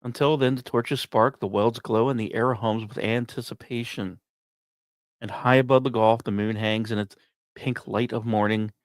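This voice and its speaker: A male podcast host delivers scripted narration in a medium-pitched voice. He leans into dramatic pauses and slips in wry, informal asides. The sound is dry, with no room on it. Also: no echo.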